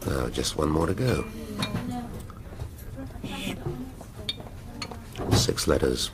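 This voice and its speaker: Grumpy middle-aged British detective, very deep voice, dry, bookish grumbling, radio drama, noisy background. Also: male voice, exaggerated voice. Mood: weary, polite.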